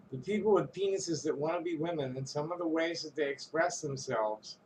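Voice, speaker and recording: A man's voice: a little high, moderate pace, slight background noise, rough recording. A man ranting to camera in a casual vlog, rambling and profane, stumbling over restarts and chuckling between phrases. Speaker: vlogger.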